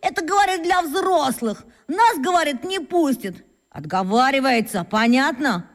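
A woman playing an excitable, boastful schoolboy who fancies himself a detective, telling his tales breathlessly in a children's radio drama. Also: sits high, unhurried. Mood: dismissive, scornful. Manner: breathless, boastful storytelling with exclamations.

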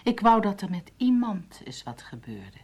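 An actress playing a suspicious, sharp-tongued wife in a radio play, sarcastic and challenging. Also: female voice, medium pitch, very animated, moderate pace, gravelly, husky, full character voice. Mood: irritated, needling. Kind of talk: Dutch radio play acting.

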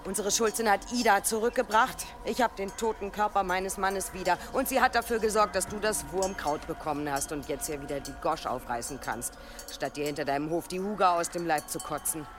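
A woman playing a fierce, blunt village widow in a radio drama, forceful and coarse, over faint music. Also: on the low side, fairly quick, close to an everyday voice. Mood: indignant, defiant. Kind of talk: German radio drama acting.

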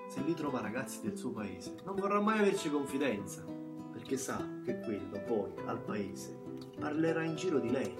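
A man's voice: mid-range voice, far from the mic, close to an everyday voice. An actor playing a bitter, plain-spoken young man from a small Camorra town, in a colloquial theatrical monologue with a Campanian accent and emphatic repetitions. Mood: bitter, confiding. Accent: southern Italian, Campanian. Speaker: stage actor. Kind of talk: theatrical monologue, first-person narration. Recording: music behind the voice. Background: plucked guitar music.

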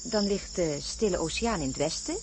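A woman playing a practical, insistent female astronaut who reasons briskly in a Dutch science-fiction radio drama. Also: on the low side, animated, close to an everyday voice. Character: resolute, practical female astronaut leading stranded companions. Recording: noisy radio play with cricket ambience.

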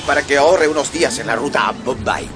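A man's voice: medium pitch, quick pace, close to an everyday voice. An actor playing an eager, talkative and deferential manservant in a radio drama, often repeating his words. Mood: chatty, confiding. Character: eager, chatty, deferential manservant of a gentleman traveler. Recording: music behind the voice.

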